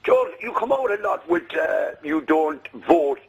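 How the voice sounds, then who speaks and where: A man's voice: high-pitched, sing-song, a little slow, vocal fry, husky, muffled recording. A man from Limerick with an Irish accent phoning in to a radio show, rambling and saying the host's name over and over. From Ireland. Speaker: radio caller. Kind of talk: phone-in call. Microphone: phone.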